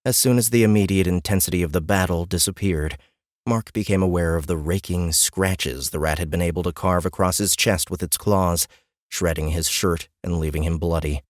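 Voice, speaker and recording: Low-voiced man narrating fantasy. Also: steady tone, normal speed, no echo, clean studio sound. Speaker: audiobook narrator. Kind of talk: scripted dramatic fantasy narration.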